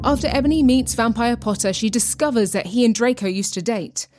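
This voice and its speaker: A woman's voice: a little high, brisk, loud music behind the voice. A woman presenting a podcast with scripted, tongue-in-cheek narration.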